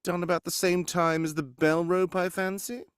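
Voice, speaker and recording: An actor playing a shrewd, inquisitive gentleman detective in a live 1940s-style radio mystery, theatrical and given to wry asides. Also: high-pitched, level tone, normal speed, smooth, dry recording, studio quality, close to an everyday voice. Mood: shrewd, commanding.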